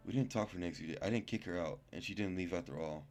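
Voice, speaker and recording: Male gamer, low voice, casual read-aloud narration, visual novel, faint background music. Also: quick pace, darkish tone. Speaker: gaming YouTuber.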